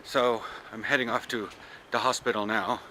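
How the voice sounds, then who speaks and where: A man's voice: fairly low pitch, steady tone, moderate pace. Chatty man vlogging over street noise. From United States. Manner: chatty, rambling, laughs often. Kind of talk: casual vlog chat. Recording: clear traffic noise outdoors.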